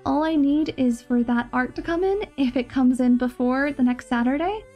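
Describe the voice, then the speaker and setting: Woman chatting casually to her listeners, high voice, bit sick and nasally, music in the back. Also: moderate pace, a little mellow. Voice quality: nasal, stuffed-up. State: mildly sick with a cold. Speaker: voice actor, channel host.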